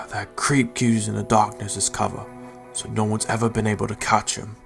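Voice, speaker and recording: A man voicing a fair-minded, reassuring Pokémon who leads an investigation in a fan audio drama, with a gravelly voice. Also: lowish voice, little pitch movement, normal speed, a bit bright, soft background music. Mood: grim.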